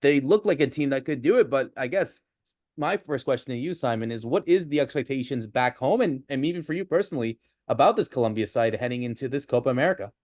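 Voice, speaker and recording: A man's voice: mid-range voice, fast talker, no room sound. Upbeat male podcast host, dry sound. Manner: upbeat, energetic introductions. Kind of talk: podcast interview hosting.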